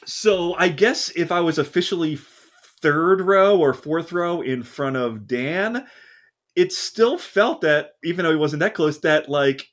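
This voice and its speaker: Guy on a podcast rambling thru a concert story, voice a bit on the high side, keeps restarting sentences. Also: measured pace, fairly bright tone. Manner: rambling, self-correcting storyteller. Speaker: podcast co-host. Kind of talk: casual podcast chat.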